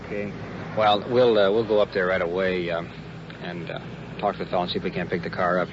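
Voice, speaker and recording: A man's voice: deep voice, flat delivery, fast talker. A male police sergeant talking on duty out on the streets, authoritative and matter-of-fact, in an old field radio recording. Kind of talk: unscripted on-duty police talk. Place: outdoors on city streets. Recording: muffled noisy 1950s field radio recording.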